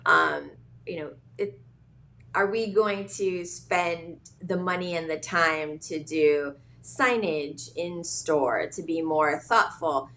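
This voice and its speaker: Brief: woman from Hawaii, founder guest, low-pitched, halting and reflective. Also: fairly bright tone. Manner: thoughtful, hesitant with many fillers and restarts. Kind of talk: casual interview talk.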